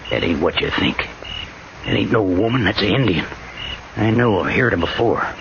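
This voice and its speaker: An actor playing a wounded, folksy Old West frontiersman with a slow western drawl and rough frontier slang, in a 1950s radio drama. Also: deep voice, expressive. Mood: wary, drawling. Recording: hissy, muffled old radio broadcast.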